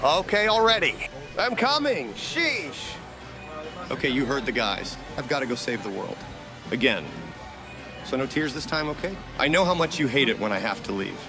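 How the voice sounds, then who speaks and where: An actor playing a flippant, cocky ghost hunter in a motion comic, full of sarcastic wisecracks. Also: male voice, mid-range voice, lively intonation, normal speed, lightly acted. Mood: mock heroic. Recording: loud music behind.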